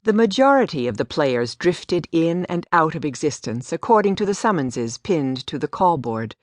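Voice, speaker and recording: A woman's voice: low-pitched, very animated, normal speed, no room sound. A woman giving a scripted story narration as an audiobook narrator. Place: dry studio.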